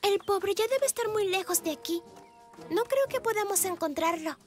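A woman voicing a small, sweet, timid child with a squeaky voice in a children's cartoon dub. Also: expressive, moderate pace. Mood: concerned. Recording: loud children's music behind the voice.

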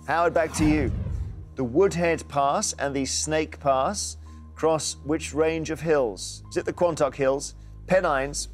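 Male game show host, slightly high voice, crisp studio delivery, faint music under. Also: steady tone. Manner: brisk, crisp announcing, reading questions aloud. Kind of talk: scripted game show presenting. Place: large TV studio indoors. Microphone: lapel mic.

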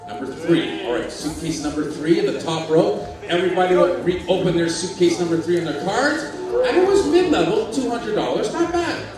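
A man hosting a game show on a cruise ship stage, animated and jokey as he calls out the amounts. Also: sits high, normal speed. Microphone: handheld mic, far camera. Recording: echoey hall from far off, loud music.

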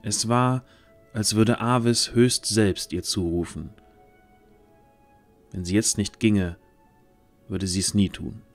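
A man's voice: on the low side, steady tone, slow, long pauses, faint music behind. A man narrating a scripted fantasy story as the narrator of an audio drama.

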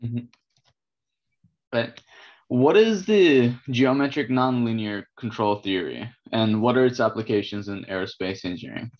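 A young man asks scripted interview questions in a plain, even way. He speaks over a laptop webcam, and the sound is dull and lo-fi. His voice sits at an ordinary middle pitch for a man. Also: measured pace. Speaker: interviewer, host. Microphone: laptop webcam video call.

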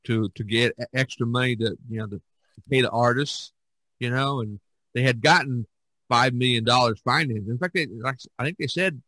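A man hosting a casual podcast conversation, talking in a halting way with many fillers and restarts. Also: mid-range voice, sharp tone, no room sound, rough recording. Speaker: podcast host.

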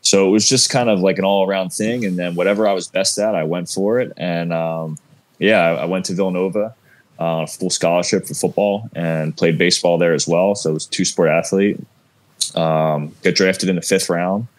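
A man in his thirties with a South Jersey accent, telling his story as a guest over a video call in a relaxed, rambling way. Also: low-pitched, normal speed. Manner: relaxed, rambling, many fillers. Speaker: guest, former athlete. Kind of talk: casual interview storytelling. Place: room at home with shelves.

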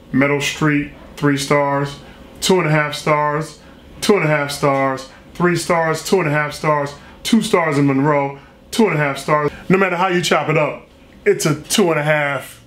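A man in his forties casually reviewing food on camera, slangy and animated, reading his own written review aloud with flourish. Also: on the high side, roomy sound. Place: small restaurant dining room, indoors.

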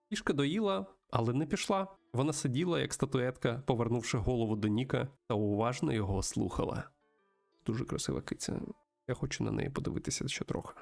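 A man with a creaky voice, hosting a let's-play, reading a visual novel aloud and adding his own commentary. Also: mid-range voice, a little mellow. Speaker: host of a video game let's-play show.

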